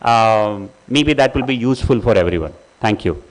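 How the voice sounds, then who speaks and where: A man moderating a conference panel, speaking haltingly with frequent uhs. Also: lowish voice, animated, rough recording. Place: conference hall.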